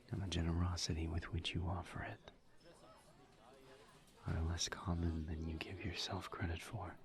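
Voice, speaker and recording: A man playing a courteous, earnest incubus demon in an intimate audio roleplay, speaking softly and breathily in halting, formal phrases. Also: sits low. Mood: sincere, tender. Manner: hesitant, halting, formal wording, soft-spoken and breathy. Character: courteous, earnest male incubus demon, awkward among friends.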